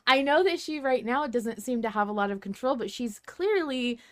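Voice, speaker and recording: A woman's voice: on the high side, expressive, normal speed, a bit bright, dry recording, clean studio sound. A woman hosting a podcast, talking in a casual, conversational way and reacting readily. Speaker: podcast host.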